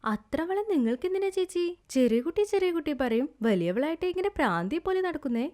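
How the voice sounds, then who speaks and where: A woman voicing an eager, cheeky younger sister in a village storybook tale, excitable and pleading. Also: high voice, brisk, voice pushed up.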